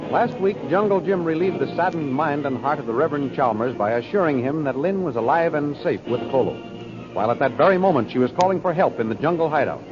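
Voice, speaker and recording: A man's voice: fairly high pitch, lively intonation, fast. A man narrating an old-time radio serial as its announcer, in a formal, dramatic, scripted style. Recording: dull old radio recording. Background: music.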